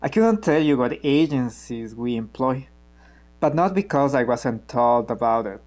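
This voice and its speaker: A man playing a guarded, cynical revolutionary who explains himself in a measured way in a naturalistic radio play. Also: medium pitch, expressive, darkish tone, close to an everyday voice. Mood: guarded, wry. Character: guarded, cynical male member of an armed revolutionary group.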